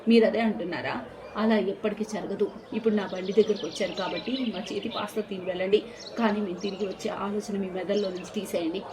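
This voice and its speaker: Cartoon mother, played by a woman: wronged, loyal, resolute. Middle pitch, lively background sounds. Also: fast, crowd noise, lightly acted. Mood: indignant, firm. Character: wronged mother selling pasta, loyal and firm. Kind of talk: acted cartoon moral story.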